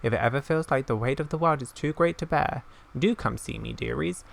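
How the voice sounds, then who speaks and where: Man reading as a kindly, creaky old village woman. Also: medium pitch, brisk. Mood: gentle, caring.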